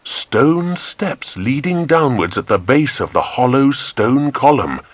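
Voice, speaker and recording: A man with an English accent narrating a children's adventure story in a measured, dramatic storytelling manner. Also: medium pitch, big pitch swings, no room sound. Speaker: audiobook narrator.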